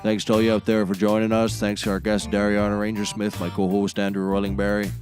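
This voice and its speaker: A man playing a deadpan, self-important auctioneer who hosts a small comedy talk show. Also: on the low side, fast, faint music behind, close to an everyday voice. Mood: showman-like. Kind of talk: scripted comedy acting.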